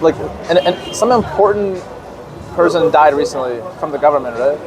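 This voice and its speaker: A man from Virginia in the USA hosting a casual interview and asking questions in a relaxed, curious way. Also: fairly high pitch, normal speed, poor audio. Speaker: interview host. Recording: outdoor crowd chatter behind.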